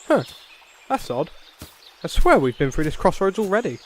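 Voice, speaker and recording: Man acting pompous blustering knight, wildly animated. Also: high-pitched, moderate pace, a little hiss or hum. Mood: haughty. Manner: pompous, indignant, haughty. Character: pompous, blustering noble knight, proud and obstinate. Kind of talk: comedy fantasy sketch acting.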